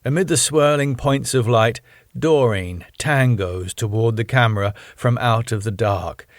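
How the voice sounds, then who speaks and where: Male narrator, medium pitch: scripted screenplay reading, measured and deliberate, dramatic phrasing, dry close sound. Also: dry recording. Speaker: narrator reading his own sitcom script.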